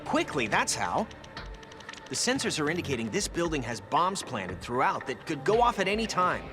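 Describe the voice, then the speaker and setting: A man's voice: fairly high pitch, wildly swooping pitch, normal speed, over music. A man voicing a brainy, earnest teenage mutant turtle in a video game, explaining things with urgency. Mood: urgent, earnest. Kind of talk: video game cartoon voice acting.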